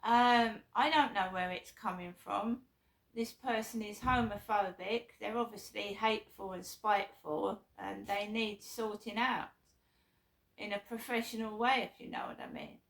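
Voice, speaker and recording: A middle-aged woman with a sore throat, talking casually to camera in a rambling, halting way full of ums and restarts. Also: medium pitch, gravelly, fairly bright tone, poor audio. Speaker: vlogger.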